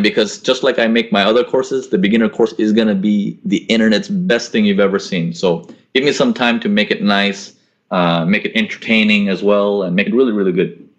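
Young man, lowish voice, casual coaching, repeats words, laptop webcam mic at home. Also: brisk, dull audio. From grew up in Korea and the US. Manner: casual, repeats himself, coaching tone with rhetorical questions. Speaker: forex trading webinar host. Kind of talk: live webinar Q&A, reading chat questions. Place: small indoor room at home. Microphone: laptop webcam mic on a video call.

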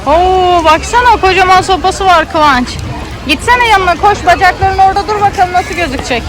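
A woman's voice: very high-pitched, moderate pace. A woman with an Istanbul Turkish accent giving casual, chatty vlog commentary, talking both to a child and to viewers. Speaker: vlogger parent filming a child. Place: outdoor children's theme park. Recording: busy outdoor crowd noise. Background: crowd chatter.

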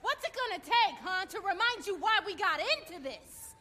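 An actress playing a bold, commanding crew leader who rallies racers with a challenging, projected delivery in a video game. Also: female voice, very high-pitched, sing-song, normal speed, full character voice. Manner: forceful, challenging, projecting to a crowd. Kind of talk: video game voice acting, rousing speech.

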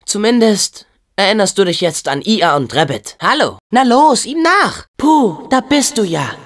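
Expressive, earnest teenage boy hero. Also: high-pitched, measured pace, long reverb tail, full character voice. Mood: gentle, cheerful. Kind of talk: video game voice acting, German dub.

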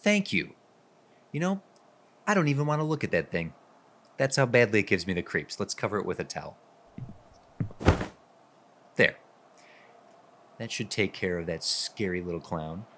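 A man's voice: lowish voice, animated, normal speed, long pauses, background noise, natural voice. A man playing an earnest, jittery newlywed husband in a radio drama. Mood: creeped out.

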